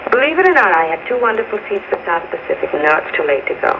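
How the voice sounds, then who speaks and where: A woman's voice: fairly low pitch, sing-song, very fast. An actress playing a pouty, playful, adoring girlfriend in a mid-century radio drama, coy and teasing. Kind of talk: 1940s-50s radio drama acting. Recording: tinny, noisy old radio broadcast.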